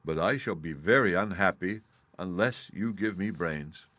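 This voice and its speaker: A man voicing a straw scarecrow who speaks slowly and earnestly, pleading for something he lacks. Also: low voice, fairly bright tone, no room sound, voice pushed down. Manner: slow, pleading, longing.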